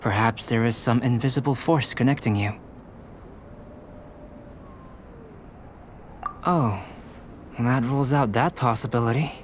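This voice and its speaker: A man voicing a calm, polite young alchemist in a video game, curious and detached, speaking in measured, formal, analytical phrases. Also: medium pitch, slight background noise, close to an everyday voice. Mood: curious, clinical. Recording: music playing behind the voice.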